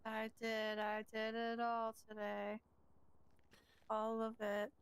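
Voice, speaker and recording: A woman plays a suspect in an improvised game role-play. Her voice is high and beautifully clear, with a flat, unhurried delivery. She stays deadpan and nonchalant as the confessed criminal. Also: no echo. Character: self-confessed male criminal, cheerfully accepting blame. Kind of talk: improvised in-character game dialogue.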